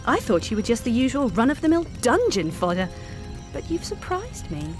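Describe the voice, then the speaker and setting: A haughty young mistress in a fantasy game, speaks over clear background music. She is brisk and sardonic, with animated swings of pitch and a commanding, arch air. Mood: wry, self-assured. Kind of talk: fantasy game character dialogue.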